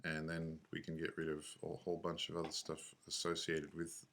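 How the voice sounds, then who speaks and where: A man with a low voice narrates a screencast tutorial in an offhand way. His delivery stays very flat, and he pauses, hesitates and corrects himself as he thinks through each step. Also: a little hiss or hum. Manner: halting, thinking aloud, self-correcting. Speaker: software tutorial instructor.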